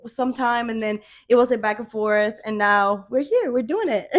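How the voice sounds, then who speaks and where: A woman's voice: on the high side, animated, normal speed. A woman in her twenties from Venezuela, a native Spanish speaker, co-hosting a casual podcast chat and laughing often. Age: 20s. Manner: laughs often, chatty and playful. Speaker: podcast co-host.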